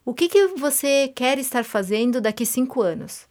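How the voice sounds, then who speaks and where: A woman from Brazil hosting a radio interview, encouraging and quick to affirm her guest. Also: high voice, expressive, darkish tone. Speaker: radio host.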